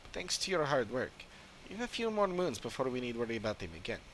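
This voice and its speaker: A man's voice: medium pitch, big pitch swings, fast talker, slight background noise, natural voice, rough recording. A man voicing a friendly, businesslike pest-control cleaner in video game dialogue, explaining things with light animation. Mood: friendly, explanatory. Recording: game music behind the voice.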